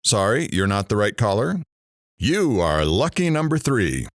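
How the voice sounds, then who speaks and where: An actor playing a chatty, showy radio talk show host in an audio drama. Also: male voice, fairly low pitch, very animated, measured pace, dry recording, clean studio sound. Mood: upbeat, showy.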